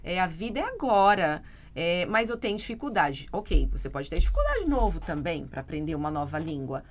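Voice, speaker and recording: A woman's voice: on the low side, big pitch swings, brisk. A middle-aged Brazilian woman, an English teacher co-hosting a podcast, talking earnestly in casual chat and answering listener questions directly. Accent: Brazilian Portuguese.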